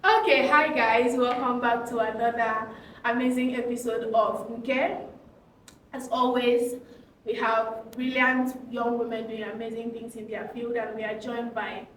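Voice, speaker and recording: A young woman hosting a podcast interview, upbeat and gushing, with quick affirmations while her guest talks. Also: on the high side, level tone, fairly bright tone, recorded from a distance, slight background noise. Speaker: podcast host. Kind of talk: casual interview conversation. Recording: echoey room, voice a little off-mic.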